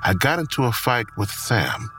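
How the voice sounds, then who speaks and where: A man's voice: medium pitch, lively intonation, moderate pace, bright, forceful tone, soft background music, poor audio. A man acting as the narrator of a grim crime audio drama, reading scripted prose. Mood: somber narration. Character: omniscient male narrator of a grim crime story.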